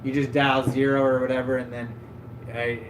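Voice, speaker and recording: A man's voice: medium pitch, level tone, moderate pace. A man hosting a livestream, telling rambling stories in a loose, self-mocking way with lots of "like". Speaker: livestream host. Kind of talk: casual stream chat, storytelling. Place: at his desk at home. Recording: echoey room, faint background hum.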